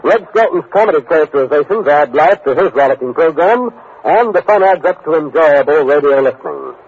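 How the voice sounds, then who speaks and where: Male American announcer, 1950s network promo style, high husky voice, tinny narrow-band recording. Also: animated, fairly quick. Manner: formal, polished promotional delivery. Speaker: radio announcer.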